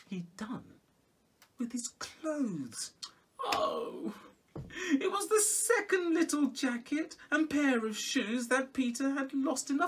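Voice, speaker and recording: A middle-aged man telling a children's story in a theatrical, expressive way. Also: high voice, husky. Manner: theatrical, expressive, with hushed whispers and exclamations. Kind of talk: animated storybook reading. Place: small home music room indoors.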